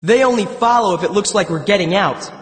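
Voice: mid-range voice, brisk, lightly acted. An actor voicing a brash, impatient young fire spirit in an audiobook, with blunt delivery in a long reverb.

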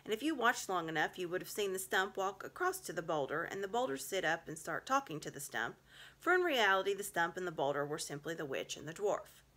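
Bright-voiced woman reading a storybook. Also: lowish voice, very animated, brisk. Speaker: reader. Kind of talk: reading a children's storybook aloud. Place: small home room, indoors.

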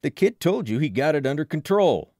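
A man voicing a burly, protective local sports fan for an audiobook, loud, punchy and colloquial. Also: mid-range voice, sing-song, moderate pace, no echo, clean studio sound, lightly acted. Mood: confronting. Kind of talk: audiobook character voice.

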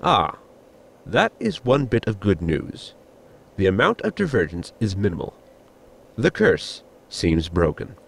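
A man playing a formal, pedantic science officer in a sci-fi comedy audio drama, with a gravelly voice and precise technical wording. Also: on the low side, wildly swooping pitch, unhurried, heavily acted. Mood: dry, formal.

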